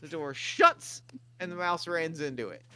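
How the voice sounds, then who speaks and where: A man's voice: on the high side, unhurried, pushed and bright, clear, resonant tone. A man reading stage directions aloud at a table read in a plain, matter-of-fact way.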